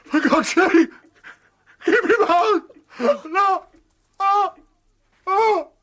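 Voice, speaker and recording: An older man acting in a radio drama as a guilty, greedy, fearful dying father, speaking weakly and haltingly with laboured breath. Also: very high voice, sharp tone, full character voice. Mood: terrified. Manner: slow, halting, laboured delivery.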